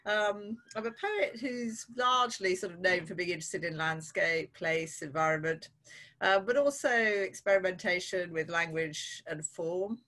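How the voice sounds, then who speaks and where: A woman poet and academic, introducing herself briskly over a video call with thoughtful pauses. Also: on the low side, bright, forceful tone. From Yorkshire.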